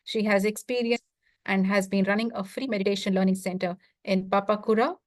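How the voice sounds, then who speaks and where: A woman hosting a talk, introducing a guest speaker in formal, measured, courteous phrasing. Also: medium pitch, fairly even intonation, no echo, clean studio sound.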